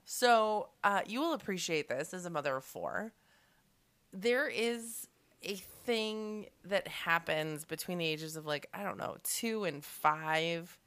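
A woman co-hosting a podcast, her voice deep, husky and hoarse from strep throat. She trades wry, laid-back banter, and her pitch sits very low for a woman. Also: slow, a bit bright. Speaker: podcast co-host. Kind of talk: casual chat.

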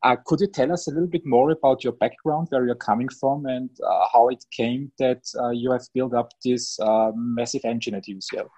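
A man hosting a podcast who reads a formal introduction and then asks interview questions in a measured way. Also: mid-range voice, fairly even intonation, warm tone, breathy edge. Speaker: podcast host.

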